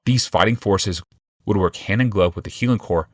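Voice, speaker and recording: A man's voice: on the low side, normal speed, no room sound, studio quality. A man reading fantasy fiction aloud as a dramatic audiobook narrator.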